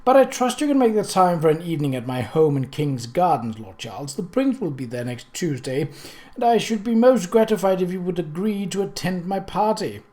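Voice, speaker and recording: Suave older society gentleman played by a male reader, raised pitch, courtly, effusive, wryly amused, storybook reading. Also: very animated, brisk.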